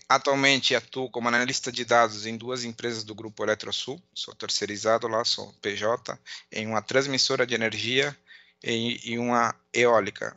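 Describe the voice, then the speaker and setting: A middle-aged man with a southern Brazilian border accent touched by Uruguayan, giving an informal online presentation in a halting way, repeating words and checking in often. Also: mid-range voice, creaky, sharp tone, no room sound, muffled recording. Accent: southern Brazilian border accent with Uruguayan influence. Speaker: conference speaker, accountant and data analyst. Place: at home, indoors.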